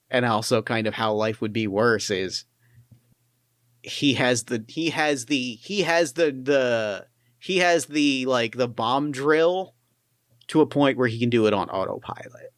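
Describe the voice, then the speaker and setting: A man running a solo tabletop game, narrating his pilot's story in a reflective, halting way. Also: medium pitch, no room sound, clean studio sound. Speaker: game master.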